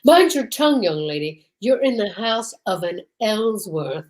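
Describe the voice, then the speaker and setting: Actress as haughty middle-aged society lady, measured slow speech, formal, disapproving, old-time radio drama. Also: medium pitch, big pitch swings. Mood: indignant. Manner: formal, snooty. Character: haughty, middle-aged upper-class wife, stiff and disapproving.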